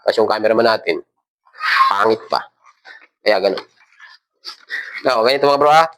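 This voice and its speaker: A man's voice: on the low side, moderate pace, fairly bright tone. A man with a Filipino accent hosts an informal tutorial, talking casually and instructing step by step as he handles parts. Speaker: tutorial host. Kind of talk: casual hands-on tutorial talk.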